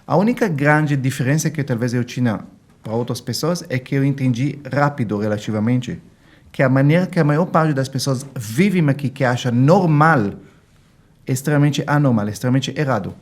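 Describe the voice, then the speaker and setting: Male interview guest, Israeli accent, mid-pitched, emphatic explainer who voices quoted dialogue. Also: animated, fairly quick. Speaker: guest financial consultant. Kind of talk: explanatory interview talk with anecdotes.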